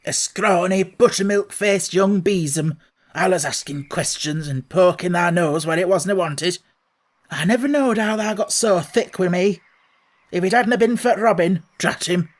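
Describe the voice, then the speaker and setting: A man voicing a grumpy old male gardener with a broad Yorkshire accent and a gruff, scolding manner, in a storybook reading. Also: high-pitched, normal speed, natural voice. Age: older.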